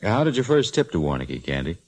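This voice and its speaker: Deep-voiced, wry police lieutenant. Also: male voice, very animated, brisk. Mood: bemused. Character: gruff, wry male police lieutenant, easily bemused. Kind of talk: 1940s radio detective drama acting.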